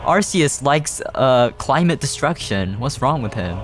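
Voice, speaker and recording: A man's voice: mid-range voice, animated, unhurried. A man giving casual, reactive commentary on a video game he is playing. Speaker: gaming streamer. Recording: game music behind the voice. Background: video game music.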